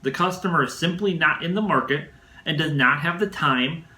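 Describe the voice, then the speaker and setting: Bright, higher-voiced man coaching sales. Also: moderate pace, echoey room. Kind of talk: instructional sales talk, scripted delivery.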